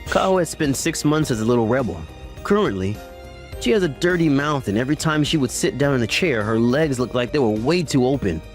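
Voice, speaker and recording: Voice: medium pitch, animated, a little fast, close to an everyday voice. A performer voicing a caring, teasing young uncle in a romantic comedy manga story, mixing narration with dialogue in a mild manner. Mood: matter-of-fact narrating. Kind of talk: anime-style recap narration and dialogue. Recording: music under the voice. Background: background music.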